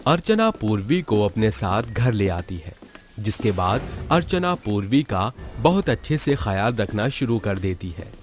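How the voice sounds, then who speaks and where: A man's voice: fairly low pitch, sing-song, a little slow. A man narrating a cartoon moral horror story in a dramatic, scripted storytelling style. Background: background music.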